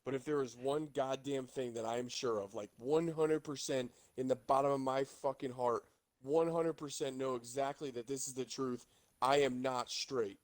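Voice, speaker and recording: A man in a casual group call, building up deadpan jokes with dark humor. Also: mid-range voice, normal speed.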